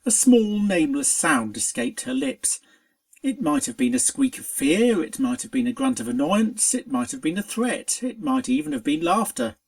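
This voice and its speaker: Expressive, animated story reader. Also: medium pitch, normal speed, vocal fry. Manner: expressive, dramatic storytelling delivery. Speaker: fan fiction reader. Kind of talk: scripted story narration.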